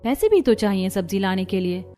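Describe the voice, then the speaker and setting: A woman's voice: mid-range voice, sing-song, brisk, mellow, faint music behind, close to an everyday voice. A woman voicing a firm, protective older village mother-in-law in a cartoon, motherly and admonishing. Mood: indignant.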